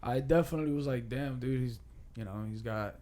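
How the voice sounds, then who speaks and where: A man's voice: medium pitch, brisk, dark tone. A man of twenty-four chatting casually as a podcast guest, with slangy, profane language. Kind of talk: casual chat.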